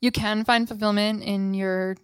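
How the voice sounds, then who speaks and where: A woman's voice: mid-range voice, a little slow, smooth, dry recording. Woman hosting a live interview, dry sound. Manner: relaxed, frequent ums. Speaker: podcast host.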